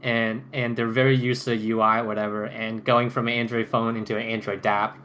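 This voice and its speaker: A male podcast host with a bright, forward voice leads a casual roundtable. He speaks haltingly, circling back over his phrases, with some noise behind him. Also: medium pitch, flat delivery. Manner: halting, repeats and restarts phrases. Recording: noticeable background noise.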